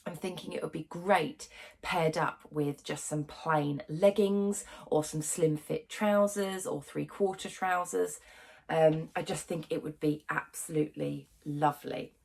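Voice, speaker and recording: A young woman with a cold talks casually to her camera, her voice stuffy and congested. She sits fairly low in pitch with a gravelly, fry-edged texture, and fills her chatter with ums and soft laughs. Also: fairly even intonation, a little slow. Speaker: sewing channel host.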